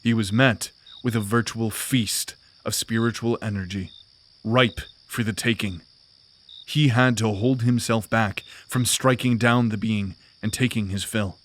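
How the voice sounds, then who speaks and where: A man narrating a fantasy audio drama in a measured, descriptive storytelling manner. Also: lowish voice, steady tone, a bit bright.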